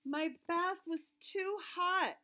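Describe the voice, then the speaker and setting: A woman's voice: very high-pitched, slow, bright, forceful tone, pitched above the performer's own voice, full character voice. A woman voicing an aggrieved, sulky young boy from a picture book, complaining in a whiny, emphatic way. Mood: disgusted, sulky. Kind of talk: picture-book character narration.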